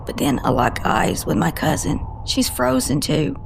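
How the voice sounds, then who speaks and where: A woman playing a haunted, guarded cryptid agent in an audio drama, telling her story with halting emotion and broken-off sentences. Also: lowish voice, very animated, gravelly, slight background noise, full character voice. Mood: anguished, dread.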